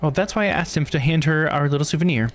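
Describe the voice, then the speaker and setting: A man voicing a formal, cautious investigator from a visual novel, reading the dialogue in measured phrases. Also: fairly high pitch, voice pushed up, close to an everyday voice. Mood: earnest. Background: music.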